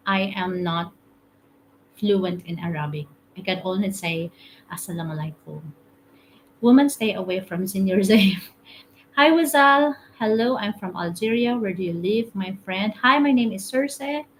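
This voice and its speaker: A woman's voice: medium pitch, lively intonation, a little slow, slight background noise, low-quality recording. A woman from the Philippines with a Filipino accent, hosting a casual live stream, reading comments aloud, chatting and laughing often. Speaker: TikTok live host. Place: home room, currently in UAE.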